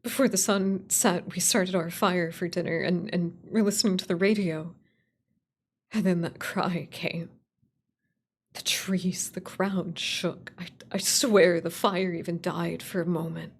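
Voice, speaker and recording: A woman's voice: lowish voice, steady tone, a little hiss or hum. Woman doing a scared lady camper on a radio horror call, panicky, stuttering, lost and begging for help. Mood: shaken, recounting. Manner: stammering, panicked, halting. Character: terrified lone woman camper calling for help.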